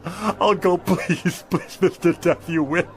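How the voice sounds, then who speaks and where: Earnest older street peddler. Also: male voice, high-pitched, fairly even intonation, takes it slowly. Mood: frantic pleading. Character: kindly older male street peddler, pleading and stubborn. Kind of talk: dramatic radio drama acting.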